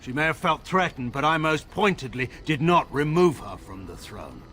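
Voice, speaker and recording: An actor playing a proud, stern middle-aged general and father in a fantasy game, speaking in a grave, measured, formal way with dry sarcasm. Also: a little high, very animated, fairly bright tone. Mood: firm, proud. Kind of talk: dramatic fantasy game dialogue.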